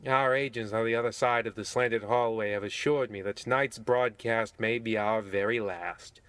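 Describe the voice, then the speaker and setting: A man's voice: fairly low pitch, fairly even intonation, normal speed, fairly bright tone, smooth, no echo, close to an everyday voice. A man playing an ominous, genteel horror host, narrating a parody of old radio with pompous, theatrical deliberation. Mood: ominous, courtly.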